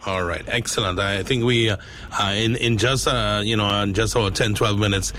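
A man with a Trinidadian accent and a lowish voice conducts a radio interview. He feels his way through questions, pausing and repeating phrases. There is noticeable background noise around him. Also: steady tone, a bit bright. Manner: hesitant, halting, repetitive phrasing. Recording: clearly noisy background ambience.

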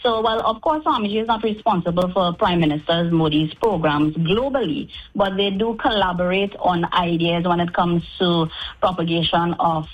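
A Trinidadian woman speaking as a spokesperson in a radio interview, with articulate, formal and measured phrasing. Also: fairly low pitch. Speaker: guest spokesperson for an event. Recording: dull, band-limited radio sound.

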